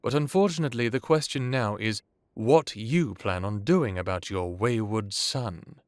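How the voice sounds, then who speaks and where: Man playing a low-voiced, courtly ancient vampire lord. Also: no echo, clean studio sound, lightly acted. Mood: grave, warning. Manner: measured, theatrical, formal with dramatic pauses. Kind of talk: dramatic audio roleplay acting.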